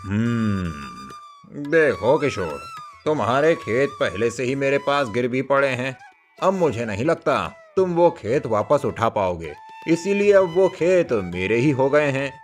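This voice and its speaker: A man's voice: mid-range voice, very animated, a little slow, faint music behind. Man as a smug, grasping village headman. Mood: calculating, smug. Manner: domineering, calculating. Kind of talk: Hindi moral cartoon story dubbing.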